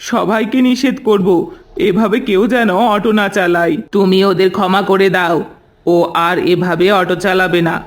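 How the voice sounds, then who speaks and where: A man's voice: high-pitched, fairly even intonation, moderate pace, close to an everyday voice. A man voicing earnest, anxious villagers in a cartoon story, urgent and questioning. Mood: remorseful, pleading. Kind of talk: Bengali cartoon story voice acting.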